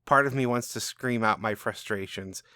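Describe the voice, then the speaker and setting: Storybook princess, male reader: reclusive, smart, dry and scornful, lowish register, very dry sound. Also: moderate pace, pushed and bright, clear, resonant tone, dry recording. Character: agoraphobic, smart, scornful young princess guarding her pet. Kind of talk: first-person storybook narration.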